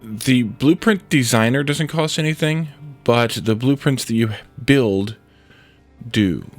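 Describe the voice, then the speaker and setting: A man's voice: medium pitch, takes it slowly, faint music behind. A man with a congested head cold, casually thinking aloud as he streams a building game. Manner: thinking aloud, muttering to himself while building. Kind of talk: casual let's-play commentary.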